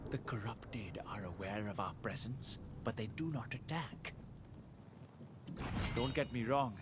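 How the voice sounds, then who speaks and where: A man playing an earnest, dutiful military commander in a video game, measured and formal, with concern in his delivery. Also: medium pitch, lively intonation, very noisy, close to an everyday voice. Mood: worried. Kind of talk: video game cutscene acting.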